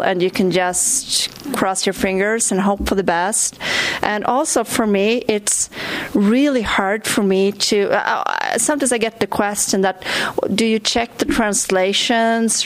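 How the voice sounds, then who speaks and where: A woman with a Swedish accent, a native Swedish speaker, chatting through an audience Q&A as a visiting author at a library, self-deprecating and laughing easily. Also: medium pitch. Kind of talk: talk and Q&A with an audience. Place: library event. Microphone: recorded for a podcast.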